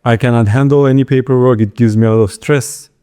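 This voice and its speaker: A man with a Turkish accent giving informal interview answers, halting and restarting his words as he goes. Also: mid-range voice, fairly even intonation, dark tone, clear, resonant tone. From Istanbul, Turkey. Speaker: interview guest, entrepreneur.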